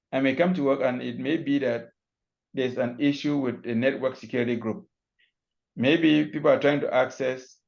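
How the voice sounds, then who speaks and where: Man from Cameroon, gravelly voice, loose step-by-step explainer over a dull video call line. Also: medium pitch, darkish tone. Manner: loose, restarting, walks through examples step by step. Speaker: guest cloud security expert. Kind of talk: online panel talk, explaining his job. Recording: muffled call audio.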